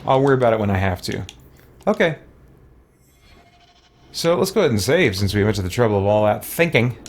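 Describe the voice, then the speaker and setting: A man's voice: on the low side, very animated, fairly quick, a little hiss or hum. Man thinking aloud while gaming. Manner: musing, talks to himself. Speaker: gaming let's-play host. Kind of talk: casual gameplay commentary, thinking aloud.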